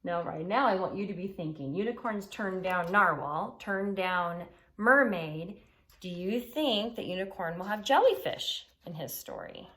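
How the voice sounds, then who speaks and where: A woman's voice: lowish voice, animated, a little slow, fairly bright tone. A woman reading a children's story aloud expressively and explaining it simply as a teacher would.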